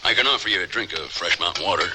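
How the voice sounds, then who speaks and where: An actor playing a rough, wry mountain man, rustic and blunt, in an old radio drama. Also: deep voice, rapid-fire, lightly acted. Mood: gruff, hesitant. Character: rough, wry frontier mountain man, whiskey-drinking outlaw. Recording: noisy vintage radio drama recording.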